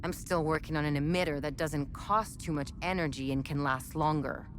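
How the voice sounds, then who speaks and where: An actress playing a chatty, wry military research scientist in a video game, explaining things in a measured, conversational way. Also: female voice, sits low, fairly even intonation, slight background noise, natural voice. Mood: matter-of-fact. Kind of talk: scripted game dialogue, conversational exposition.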